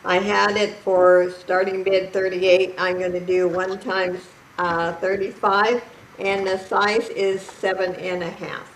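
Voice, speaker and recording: Loud, slow-talking woman in echoey room. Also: medium pitch, level tone, slight background noise. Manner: loud, slow and deliberate, item by item. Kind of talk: casual live-stream selling.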